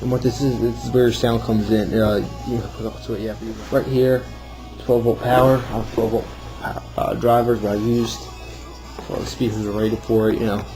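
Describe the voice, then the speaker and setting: A man's voice: mid-range voice, flat delivery, normal speed, over music, rough recording. A man giving an informal, rambling, self-deprecating walkthrough of something he built, off the cuff. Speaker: hobbyist builder showing a homemade project. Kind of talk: casual off-the-cuff demo narration.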